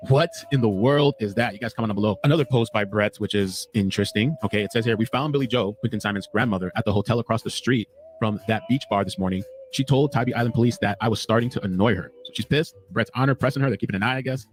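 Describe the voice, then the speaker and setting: A man giving fast, conversational on-location commentary in a true crime vlog, recorded outdoors. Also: lowish voice. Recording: lo-fi clip played over a call.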